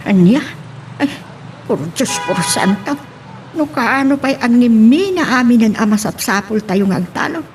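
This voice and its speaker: A slightly high-voiced actress as an exasperated farm woman. She questions indignantly in radio drama style, with faint noise behind her. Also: animated, normal speed, darkish tone, lightly acted.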